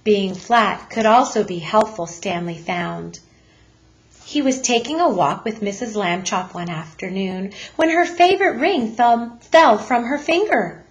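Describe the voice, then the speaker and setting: A woman narrates a children's storybook in a teacher's read-aloud style. Her pace is slow and deliberate, her delivery expressive, her pitch middling. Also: muffled recording.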